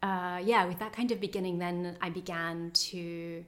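A woman author answering interview questions in a thoughtful, hesitant way, pausing often with fillers as she searches for words. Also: fairly low pitch, fairly even intonation, a bit bright. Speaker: novelist being interviewed. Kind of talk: reflective conversational interview.

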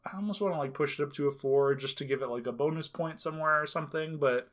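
A relaxed podcast co-host talks through a horror film review in a loose, rambling way. The voice sits in a middle pitch, and the thoughts come out in halting bits joined by fillers. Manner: rambling, heavy on filler words. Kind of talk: casual movie review chat.

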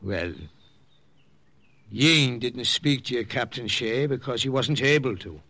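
An actor playing a kindly, paternal missionary priest, halting and avuncular, in old radio drama style. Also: male voice, medium pitch, pushed and bright, dry recording, heavily acted. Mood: matter-of-fact. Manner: halting, avuncular, with little hesitations. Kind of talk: 1940s-50s radio drama acting.

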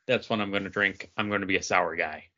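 A man's voice: deep voice, steady tone, quick pace, sharp tone, lo-fi sound. A man co-hosting a podcast in casual, conversational chat. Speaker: podcast co-host.

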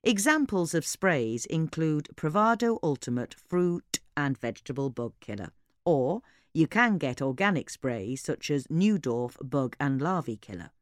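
Low-pitched, animated woman narrator. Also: a little slow, dry recording, studio quality. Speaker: narrator reading a magazine article. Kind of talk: scripted informational narration.

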